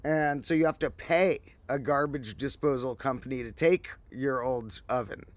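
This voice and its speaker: Slightly hoarse man, rambling livestream talk. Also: medium pitch, moderate pace, dry recording. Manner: rambling, animated storytelling. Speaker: host. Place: outdoors, windy.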